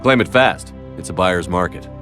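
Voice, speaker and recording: A man playing a calm, dry, resolute military commander in video game cutscene dialogue. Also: low-pitched, moderate pace, soft background music. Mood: dry, supportive.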